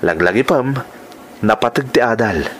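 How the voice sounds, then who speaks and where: A man's voice: mid-range voice, expressive, normal speed, a little hiss or hum, lightly acted. An actor with a Filipino accent playing a kindly, fatherly uncle in a radio drama. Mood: gently advising. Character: kindly, fatherly uncle giving gentle advice.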